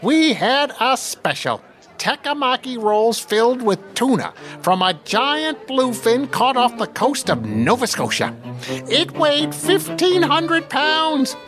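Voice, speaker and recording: Proud bragging chef done by a storyteller, high voice, audiobook reading. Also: big pitch swings, slow pace, over music, heavily acted. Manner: proud, boastful.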